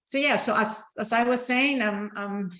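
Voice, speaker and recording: A woman's voice: a little high, moderate pace, pushed and bright, low-quality recording. Ecuadorian-accented woman, program director on a video call, lots of ums and restarts. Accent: Ecuadorian Spanish. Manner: hesitant, many fillers and restarts. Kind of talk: virtual open house presentation.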